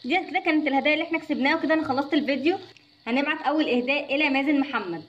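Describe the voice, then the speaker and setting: A woman's voice: sits high, rapid-fire, fairly bright tone, dull audio, slight background noise. A woman with an Egyptian accent casually narrating an unboxing for children. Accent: Egyptian Arabic. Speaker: host of a children's toy channel.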